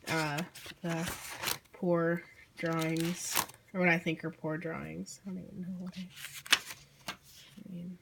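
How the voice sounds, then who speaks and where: Hoarse sick teen girl rambling. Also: fairly low pitch, little pitch movement, slow, long pauses, very noisy. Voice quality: croaky, losing voice. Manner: apologetic, rambling with fillers and small laughs. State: hoarse from illness. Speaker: vlogger. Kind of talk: casual sketchbook walkthrough. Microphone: handheld camera mic.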